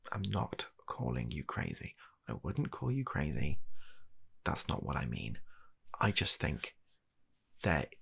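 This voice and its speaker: A British man playing a weary, reluctant, baffled doctor in a comedy ASMR roleplay, talking softly in a halting, deadpan, exasperated way. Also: low voice, gravelly, breathy edge, full character voice. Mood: hesitant, sceptical. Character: weary, reluctant, baffled male doctor humouring an absurd patient.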